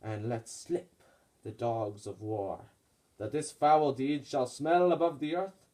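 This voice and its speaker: Guy doing grieving vengeful roman noble, impassioned shakespeare puppet show speechifying, medium pitch. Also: slow pace, dark tone, close to an everyday voice. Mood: vengeful fury. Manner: declamatory, impassioned.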